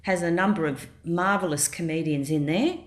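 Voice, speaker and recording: A woman's voice: on the low side, normal speed. A woman hosting a radio comedy show, chatty and giggling as she questions her guest. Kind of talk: casual radio interview.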